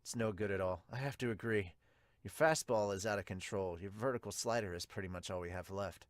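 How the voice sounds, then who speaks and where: A man voicing serious baseball characters in a manga dub, speaking in a hushed, measured manner. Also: fairly low pitch, no room sound, voice pushed down, close to an everyday voice. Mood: calm, serious. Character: low, serious male baseball characters and narrator.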